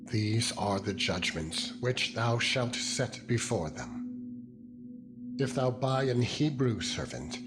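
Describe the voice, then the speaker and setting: A man narrating scripture in a dramatized style, solemn and deliberate with measured phrasing. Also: mid-range voice. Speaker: Bible narrator. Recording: background music under the voice. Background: music.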